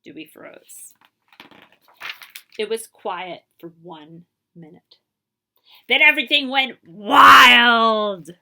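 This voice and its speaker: Female children's storyteller, fairly high and very lively, expressive librarian read-aloud with dramatic pauses. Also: creaky, slight background noise. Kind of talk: animated children's picture book read-aloud.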